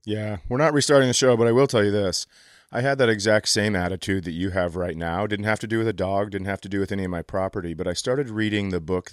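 Low-voiced American man, close dry sound. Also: no echo. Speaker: podcast host, comedian. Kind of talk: casual comedic chat and storytelling.

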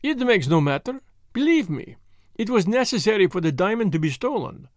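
Guy doing a cheerful fussy little detective for an audiobook, theatrical and polite, pitch jumps all over, dry sound. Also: sing-song, fairly quick, dry recording. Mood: courteous, pleased. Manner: theatrical, formally polite, precise. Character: dapper little Belgian detective, cheerful, courteous, self-assured man.

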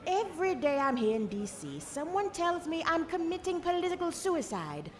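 A woman's voice: very high-pitched, sing-song, moderate pace. An actress playing a principled, outspoken congresswoman in an audio drama, emphatic and plain-spoken. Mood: defiant. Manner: emphatic, plain-spoken, uses blunt slang.

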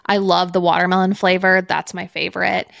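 A woman's voice: lowish voice, little pitch movement, fairly quick, gravelly, a bit bright, dry recording, clean studio sound. A woman podcast co-host chatting casually and reacting to gossip.

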